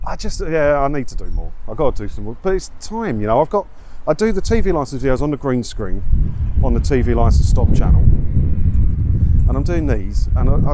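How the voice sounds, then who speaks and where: Man chatting outdoors over street noise. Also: medium pitch. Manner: conversational, repeats points for emphasis, rhetorical tag questions. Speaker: vlogger. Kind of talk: casual chat to viewers, reading out and answering comments. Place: outdoors, walking around a seaside town. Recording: outdoor wind and traffic noise on mic. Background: wind, passing cars, street ambience.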